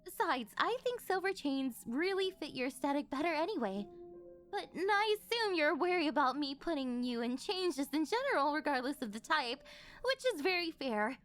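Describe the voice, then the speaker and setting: A high, very animated voice from a woman acting as a rich older yandere girlfriend in an ASMR roleplay. She is doting and possessive, coaxing her sweetheart in a sweet, slightly awkward ramble. Faint music plays behind her. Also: moderate pace. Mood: coaxing, affectionate. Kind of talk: scripted ASMR roleplay spoken to the listener.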